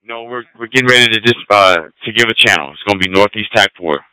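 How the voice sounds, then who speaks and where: A man's voice: lowish voice, fast, noisy background. A man dispatching fire and emergency units over two-way radio, procedural and repeating key details.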